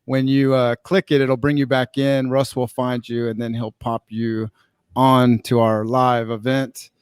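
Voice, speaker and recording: Clear-voiced man hosting a live event. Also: medium pitch, little pitch movement, measured pace, no room sound. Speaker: master of ceremonies of an online podcast summit.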